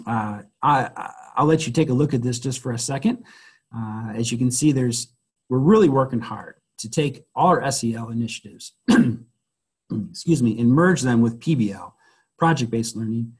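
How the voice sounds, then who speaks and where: A man presenting a school webinar, hoarse and losing his voice, who often clears his throat and speaks haltingly with many fillers. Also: mid-range voice. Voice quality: husky.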